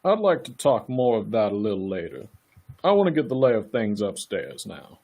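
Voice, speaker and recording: Man playing an officious county attorney, slightly husky. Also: fairly low pitch, very animated, moderate pace, darkish tone. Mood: probing. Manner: patronizing, officious. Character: brisk, condescending county attorney questioning witnesses. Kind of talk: live radio play acting.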